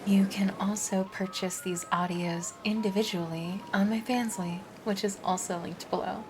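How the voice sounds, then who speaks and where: A woman ASMR performer giving a soft-spoken, often whispery scripted outro. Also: medium pitch, steady tone, normal speed, music in the background.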